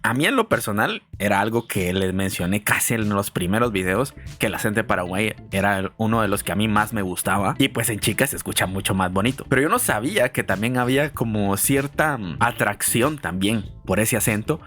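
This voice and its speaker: A man's voice: on the low side, lively intonation, fairly quick, hardly any pauses, fairly bright tone, faint music behind. A man from Guatemala hosting a casual reaction video, musing aloud in a chatty way.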